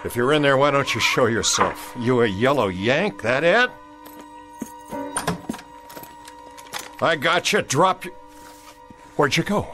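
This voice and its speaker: An actor playing a stern, resolute Confederate cavalry soldier in a radio drama, with a folksy, commanding Southern US accent. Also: male voice, mid-range voice, animated, moderate pace, over music, close to an everyday voice. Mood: threatening.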